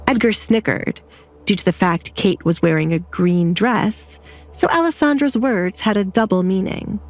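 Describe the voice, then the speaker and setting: A woman reading scripted narration for a romance audio drama. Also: on the low side.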